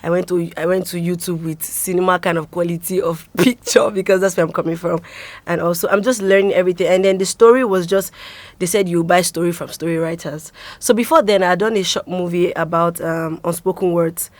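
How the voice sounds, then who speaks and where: A young woman with a Nigerian accent speaks casually in an interview. Her voice sits a little low, and she tells her stories in an animated way. The sound is dry, with little room around it. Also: brisk, no room sound. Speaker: actress, interview guest.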